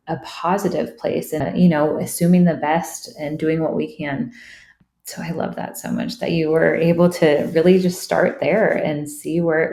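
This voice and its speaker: A woman's voice: sits low, dark tone. A woman hosting a podcast interview, enthusiastic and affirming, talking in a loose conversational way with many fillers. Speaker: podcast host. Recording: echoey room.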